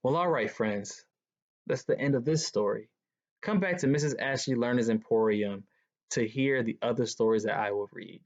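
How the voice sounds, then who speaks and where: A man's voice: mid-range voice, normal speed. Man reading a children's story, dull recording. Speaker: guest storybook reader. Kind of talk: children's picture book read-aloud. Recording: dull, lo-fi sound.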